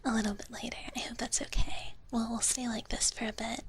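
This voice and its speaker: A woman softly whispering close to the mic as a sweet, playful young dragon big sister in live ASMR roleplay, hesitant and bubbly. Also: fairly high pitch, level tone, a little fast. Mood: shy, sweet. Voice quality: soft, breathy whisper. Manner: sweet, hesitant, bubbly, speaks of herself in third person. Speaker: livestream host. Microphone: close ASMR microphone.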